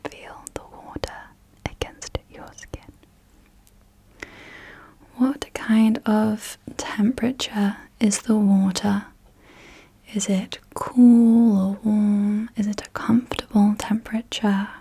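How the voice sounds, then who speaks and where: Slow breathy whispering woman. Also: medium pitch, steady tone. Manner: soft unvoiced whisper throughout; slow, gentle questioning. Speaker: ASMR host. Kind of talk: whispered ASMR guided visualisation. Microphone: close sensitive mic.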